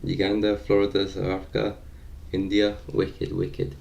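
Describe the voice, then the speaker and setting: A young man with a very low voice and a gravelly, creaky texture, speaking. He talks in a relaxed, thinking-aloud way, as if he were commenting on a live stream. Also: moderate pace, dull audio. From London, UK.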